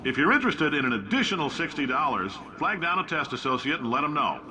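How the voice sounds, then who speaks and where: A man playing a brash, boastful male company boss who talks in loud, blunt salesman bluster over recorded announcements. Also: on the high side, very animated, fast talker, a crowd in the background. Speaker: game character. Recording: old announcement recording with audible noise.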